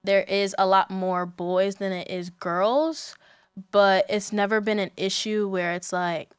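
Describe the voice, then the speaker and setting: A woman's voice: medium pitch, level tone, unhurried, smooth, dry recording, studio quality. A young adult woman speaking as a student guest in a casual podcast interview, informal and candid in the way she talks.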